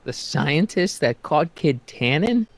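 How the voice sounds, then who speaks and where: A man's voice: fairly high pitch, sing-song, slow, gravelly, no room sound, exaggerated voice. A man voicing a curious, casual, slightly wry teenage boy in a video game. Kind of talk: video game character acting.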